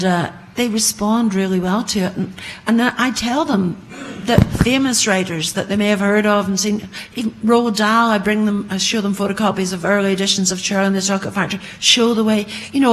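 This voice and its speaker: Female panelist, a writer. Medium pitch, informal, anecdotal delivery. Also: low-quality recording.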